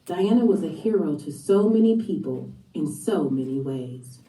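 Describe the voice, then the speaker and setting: A woman narrates a children's biography from a script. Her voice is very mellow and dark, on the low side. It sounds distant and echoey. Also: lively intonation, normal speed, slight background noise. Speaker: book narrator.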